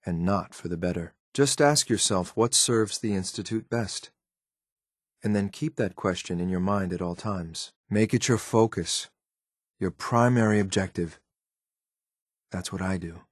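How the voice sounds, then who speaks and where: A cold, dutiful android soldier from a video game, with a low male voice. He speaks in a clipped, formal way, restrained and obedient. The sound is dry and close. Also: a little slow, dry recording. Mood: firm, dutiful. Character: stern, loyal male synth operative, cold and dutiful. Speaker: companion character in a video game. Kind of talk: scripted game voice lines.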